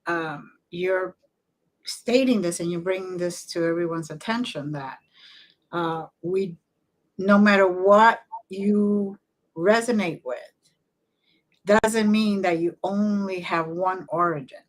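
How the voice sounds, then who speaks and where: A woman's voice: lowish voice, slow, rough recording. A woman from Cuba co-hosting an interview, earnest and agreeable, often chiming in with affirmations. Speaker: podcast co-host. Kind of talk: casual interview chat.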